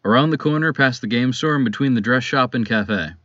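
A man's voice: medium pitch, a little fast, fairly bright tone, lo-fi sound, lightly acted. A man playing a courteous, helpful businessman stranger in an audio drama. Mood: polite, helpful.

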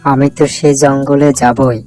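A woman's voice: a bass voice, flat delivery, normal speed, warm tone, soft background music. A woman voicing a stubborn, determined young village boy in a cartoon story.

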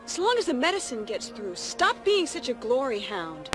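An actress playing a cool, kindly young female husky in a cartoon film, composed and dryly reproving. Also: on the high side, sing-song, moderate pace, music in the background.

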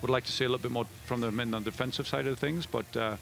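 A man's voice: medium pitch, fairly even intonation, vocal fry, fairly bright tone, slight background noise. A middle-aged man, a soccer head coach, answering post-game questions on a headset mic and often restarting his sentences. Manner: fast, with frequent restarts and fillers. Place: indoor media room before a backdrop.

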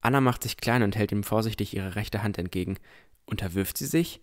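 A man's voice: on the low side, a little fast, close to an everyday voice. A man playing a cautious, easily amazed boy who narrates his own adventure in a scripted fantasy audio drama.

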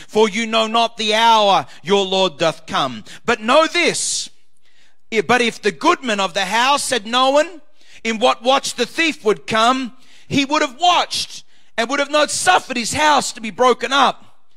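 Loud, passionate preaching pastor, bright and gravelly. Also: male voice. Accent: Australian. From Australia. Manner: loud, swings between shouted climaxes and low quiet asides. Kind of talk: passionate preaching with scripture readings.

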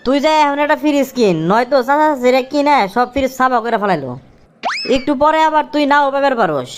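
A woman playing a bossy cartoon villager with a sharp tongue. The voice is high and quick, scolding in colloquial rural Bangladeshi dialect. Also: lively intonation, a little hiss or hum. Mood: urging. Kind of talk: comic Bengali cartoon dialogue.

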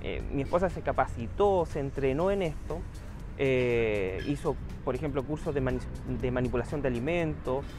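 A man's voice: mid-range voice, lively intonation, moderate pace, over music. A young man, a small business owner answering interview questions outdoors, earnest and hesitant with many fillers. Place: outdoor town plaza.

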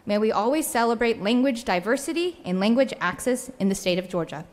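A woman's voice: fairly high pitch, lively intonation, brisk, a little hiss or hum. A young adult woman, a state senator, reading a prepared floor speech earnestly at a podium microphone. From United States. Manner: earnest, read from notes. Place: large legislative chamber. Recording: long hall reverb in chamber.

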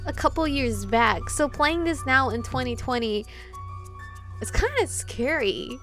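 A woman's voice: high voice, sing-song, measured pace, a bit bright. A young adult woman streaming a visual novel, reading the text aloud with casual, slangy reactions. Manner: informal slangy asides. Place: indoor room, webcam setup. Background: game music.

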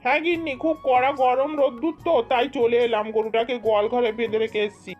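High-voiced rustic farmer dad, played by a woman. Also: steady tone, fast, muffled recording, close to an everyday voice. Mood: homely, weary. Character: hardworking, weary village farmer and vegetable-selling father. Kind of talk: amateur cartoon dubbing. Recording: music under the voice.

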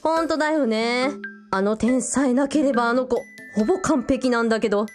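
A woman's voice: high voice, a little fast, faint music behind, lightly acted. A woman playing a teasing, sociable young elder sister in a radio drama, with a casual, playful way of talking. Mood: fond, explaining.

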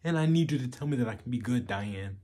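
A man playing a self-loathing, washed-up man in a dramatic monologue, pleading haltingly and rawly for reassurance. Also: medium pitch, lively intonation, warm tone, close to an everyday voice. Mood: desperate pleading. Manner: halting, pleading, emotionally raw.

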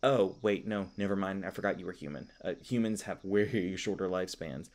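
A man acts out a youthful elf park ranger in a fantasy audio roleplay. His voice sits a little low. He talks casually and kindly, rambling and stammering with flustered, apologetic humor. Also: a little fast, a little mellow. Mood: chatty, sheepish.

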